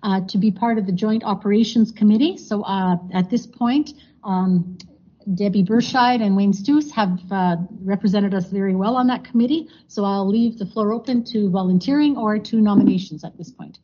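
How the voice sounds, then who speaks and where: A woman's voice: mid-range voice, normal speed, mellow. A woman chairing a formal board meeting, moving through motions with fillers and frequent thanks. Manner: procedural, many fillers and thank-yous. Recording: dull lo-fi meeting recording.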